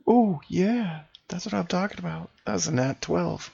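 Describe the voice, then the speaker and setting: A man's voice: high-pitched, animated, moderate pace, creaky, a little mellow, husky, dry recording. A man playing a tabletop role-playing game, informal and reactive, often thinking aloud, recorded in a home studio. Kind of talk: casual improvised tabletop play. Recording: dry, slightly dull home studio sound.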